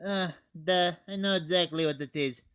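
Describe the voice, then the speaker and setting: A teen reviewer voicing a blunt, excitable Russian soldier sidekick from a shooter game, scornful and matey. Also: medium pitch, normal speed, clean tone, natural voice. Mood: smug. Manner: interjects with "duh", calls others comrade.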